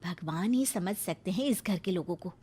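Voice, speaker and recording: A woman voicing a busy, mildly exasperated young daughter-in-law, brisk and matter-of-fact, in a Hindi moral story cartoon. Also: on the low side, expressive, warm tone, slightly rough.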